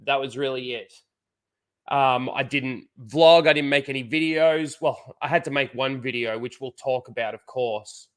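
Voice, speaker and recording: A man's voice: mid-range voice, normal speed. A man with a head cold and blocked nose, rambling casually to his chat as a livestream host, full of "like" and restarts.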